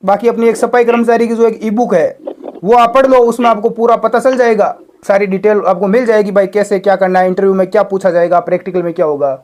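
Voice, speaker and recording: A man's voice: sits high, fairly even intonation, fast talker, a little mellow. A man talking on a phone call, explaining and questioning, repeating himself for emphasis. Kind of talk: phone conversation.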